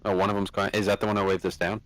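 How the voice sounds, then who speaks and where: A man with a very low voice plays a tabletop role-playing game among friends. He talks fast and casually, slipping between describing his moves and speaking in character. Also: flat delivery.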